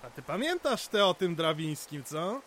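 A man's voice: on the high side, sing-song, normal speed, a bit bright, a little hiss or hum. An actor playing a jovial, chatty older village man in an audio drama, coaxing his friend with stammered repetitions.

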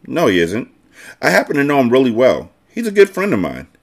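A man's voice: medium pitch, huge pitch swings, fairly quick, creaky, voice pushed up, exaggerated voice. A man voicing a sad, guarded orphan girl in a storybook, earnest and subdued.

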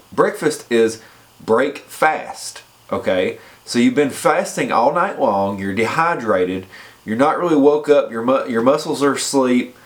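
A man with a head cold, sounding congested, giving casual fitness advice in a chatty way and often checking in with the listener. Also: medium pitch. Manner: chatty, repeats points and checks in with "okay?". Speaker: fitness vlogger. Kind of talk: casual advice talk to camera.

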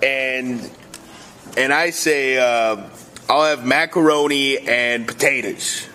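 A man playing an indignant Italian-American Bronx guy, telling his tale haltingly and repetitively in a radio comedy sketch. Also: medium pitch, background noise, natural voice. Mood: matter-of-fact.

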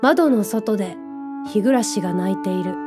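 A woman playing a gentle, dreamy young narrator in a scripted radio drama, with a soft, reflective storytelling manner. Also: mid-range voice, moderate pace, over music, natural voice. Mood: reflective, tender.

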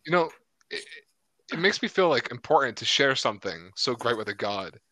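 A young male co-host with a bright, forward voice that jumps around in pitch as he teases his guest. His talk is loose and joking, full of "like" and false starts. He is recorded close and dry. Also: very animated, moderate pace, no echo. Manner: joking, teasing, peppered with "like". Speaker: podcast co-host. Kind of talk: casual comedic interview.

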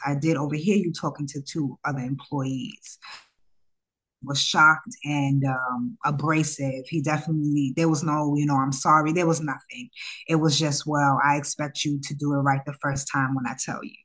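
A woman from Ghana who was raised in Harlem, telling her own story as an interview guest in a casual, halting way. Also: low-pitched. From born in Ghana, raised in Harlem, New York. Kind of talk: casual conversational interview answers, personal storytelling.

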